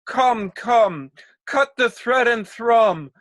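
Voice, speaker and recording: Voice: mid-range voice, animated, drawn-out words, a bit bright, no room sound, clean studio sound. An amateur actor playing a melodramatic lovesick stage hero, declaiming with bombastic grief over a home video call. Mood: horrified anguish. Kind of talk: hammy amateur stage acting.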